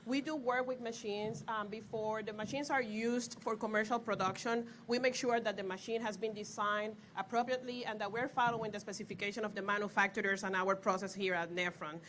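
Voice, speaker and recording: Brief: Puerto Rican-accented woman, forties, bright tense voice, hesitant earnest panel talk outdoors. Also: mid-range voice, fairly even intonation, fairly quick, hardly any pauses, slight background noise. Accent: Puerto Rican Spanish. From Puerto Rico. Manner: earnest, hesitant with frequent ums. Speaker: panelist, pharmaceutical validation lead. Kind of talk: panel discussion. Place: outdoor stage.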